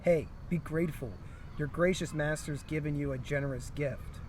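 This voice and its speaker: A man's voice: on the high side, moderate pace, a little mellow, lightly acted. A man voicing an arrogant, whiny young fantasy hero who bosses others around in a condescending way, for a story read-along. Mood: smug, bossy. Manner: condescending, bossy. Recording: outdoor recording with vehicle noise.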